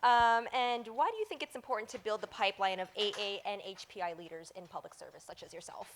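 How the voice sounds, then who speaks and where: A woman's voice: medium pitch, fast talker. Lady moderator reading prepped questions, polished, bit of hiss behind her. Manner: polished, reads prepared questions. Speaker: moderator, TV journalist. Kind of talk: moderating an onstage fireside chat. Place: event hall before a lunch audience.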